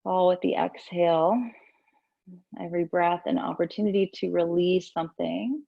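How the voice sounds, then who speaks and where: A woman yoga teacher leading gentle breath work and movement in a soothing, unhurried way, with pauses between instructions. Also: fairly low pitch, fairly even intonation, creaky, dull audio.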